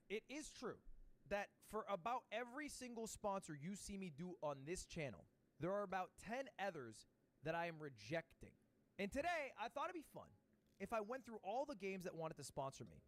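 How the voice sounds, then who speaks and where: A man's voice: sits high, big pitch swings, moderate pace. A man in a comedy video, reviewing games with sarcastic, swearing asides. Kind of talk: casual comedic video monologue. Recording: video played on stream with faint music bed.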